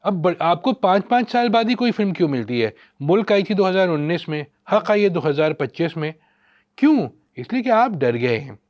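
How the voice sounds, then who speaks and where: A man's voice: high-pitched, sing-song, moderate pace, darkish tone. A man with a Pakistani accent giving opinionated film commentary in an emphatic, sarcastic way, often posing rhetorical questions. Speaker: podcast guest, film critic.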